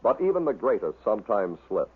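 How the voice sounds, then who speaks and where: A man's voice: fairly low pitch, sing-song, fairly quick, slightly rough. An American man delivering scripted narration as a documentary-like narrator. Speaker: narrator of a radio bloopers compilation. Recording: narrow phone-like vintage recording.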